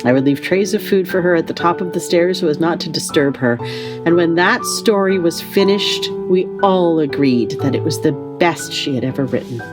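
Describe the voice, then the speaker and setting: A woman acting in an audio play as a gentle, encouraging relative and narrator, tender and measured. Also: fairly low pitch, over music, natural voice. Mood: reflective.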